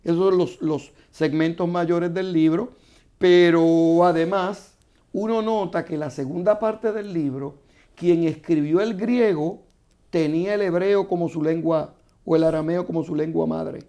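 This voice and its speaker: A man, a guest author, explaining scripture in a measured, teaching way on a studio panel. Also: a little high, fairly even intonation, dark tone.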